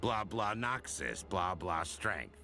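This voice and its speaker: A man's voice: deep voice, lively intonation, slow pace, bright, forceful tone, heavily acted. An actor playing a cocky, vain warrior showman in a video game, mocking and theatrical. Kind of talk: video game character voice lines.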